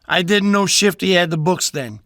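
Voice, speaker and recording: Man playing a high-voiced gangster henchman. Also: normal speed, fairly bright tone, dry recording, studio quality. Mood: matter-of-fact. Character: blunt gangster henchman loyal to his boss. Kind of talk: hardboiled gangster audio drama acting.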